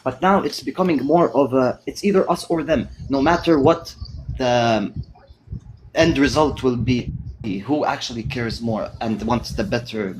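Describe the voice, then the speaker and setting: Measured man from Palestine. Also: medium pitch, slight background noise, poor audio. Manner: measured, thoughtful, many pauses and fillers. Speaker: guest. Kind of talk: casual political discussion.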